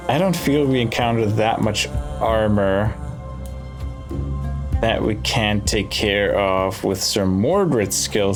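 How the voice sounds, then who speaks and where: A man giving casual gameplay commentary, thinking aloud in short, musing phrases with pauses between them. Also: fairly low pitch. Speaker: let's play host. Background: game music.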